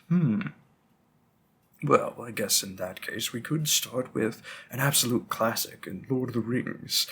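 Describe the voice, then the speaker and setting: Slow, hesitant old-fashioned bookseller played by a man. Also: mid-range voice, slight background noise. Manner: polite, hesitant, rambling, gently formal. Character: long-lived, bookish, old-fashioned male bookstore keeper, politely stalling. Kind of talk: scripted roleplay audio drama, addressing the listener.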